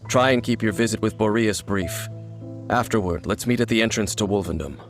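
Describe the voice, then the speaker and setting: An actor playing an aloof, guarded wandering swordsman in a video game, speaking in a grave, measured, terse manner. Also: fairly low pitch, lightly acted. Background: game music.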